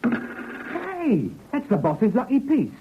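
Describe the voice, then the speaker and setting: A man's voice: extremely high pitch, huge pitch swings, slow pace, slight background noise, full character voice. An actor playing an evasive, deferential small-time crook henchman who hedges and explains in a vintage radio drama. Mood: excited, insistent. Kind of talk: 1950s radio drama acting. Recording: muffled old radio recording.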